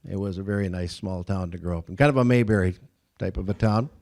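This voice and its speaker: Folksy older man, low voice. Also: fast, a little mellow. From Minnesota, USA. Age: 60s. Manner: folksy, self-deprecating, many "uh"s. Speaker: Rotary district governor, guest speaker. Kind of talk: speech at a club lunch.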